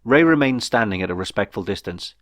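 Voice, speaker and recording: A man's voice: fairly low pitch, expressive, a little fast, fairly bright tone, no room sound, studio quality. A man reading his own comic novel aloud as scripted audiobook narration.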